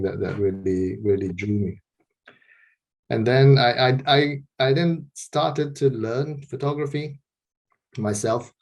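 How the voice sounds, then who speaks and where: A man's voice: fairly low pitch, gravelly, husky. Hesitant middle-aged man from Malaysia on a video call. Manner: hesitant, many restarts and repeated words, soft laughs. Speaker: interview guest, street photographer. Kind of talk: casual interview over video call.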